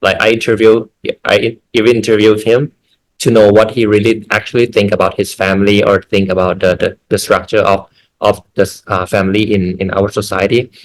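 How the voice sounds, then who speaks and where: A film director from Thailand speaking English with a Thai accent, measured and restarting often. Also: male voice, fairly low pitch, little pitch movement, rough recording. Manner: measured, with repeated words and restarts.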